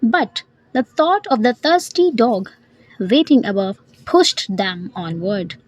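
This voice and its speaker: Female educational narrator, scripted read, raspy edge, animated pitch, dry studio-style sound. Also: slow pace, no room sound, rough recording. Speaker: narrator and vocabulary teacher.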